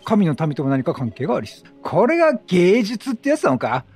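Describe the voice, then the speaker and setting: A man's voice: high-pitched, huge pitch swings, a little fast, a little mellow, husky, faint music behind. A man giving casual let's play commentary, chatty and reacting aloud, questioning and repeating lines. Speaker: gaming streamer.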